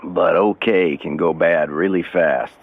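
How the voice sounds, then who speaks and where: An actor playing a steady, devout, middle-aged prisoner in a radio drama, plain-spoken and folksy, with a gravelly, husky voice. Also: male voice, low-pitched, unhurried, dry recording, narrow, tinny audio. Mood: blunt concern. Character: steady, blunt, devout male long-term prisoner, kindly counselor.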